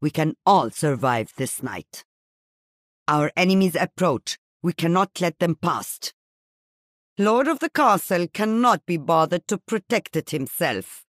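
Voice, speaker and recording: An actress voicing a stern, guarded alchemist hero in a video game, speaking in a grave, cautioning way. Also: female voice, on the low side, very animated, measured pace, fairly bright tone, no echo, studio quality. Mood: grave, resolute. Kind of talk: video game character voice lines.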